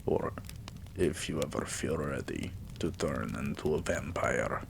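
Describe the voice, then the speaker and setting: A devoted vampire king, played by a man murmuring to his beloved in an intimate ASMR roleplay. The voice is very low, slow and gravelly, with breathy affection in every phrase. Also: lively intonation, noisy background, heavily acted. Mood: loving, solemn. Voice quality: breathy, often slipping into whisper. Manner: hushed, slow, soothing, affectionate.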